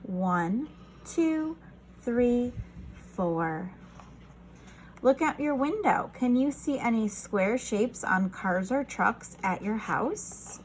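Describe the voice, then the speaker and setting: Female children's read-aloud teacher: unhurried, lively pitch swings, encouraging and instructional, slight noise behind. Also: poor audio. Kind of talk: reading a picture book aloud to young children.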